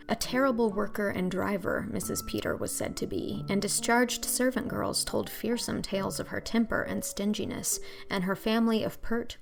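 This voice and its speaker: A woman's voice: medium pitch, vocal fry. A woman narrator reading a scripted storybook audiobook.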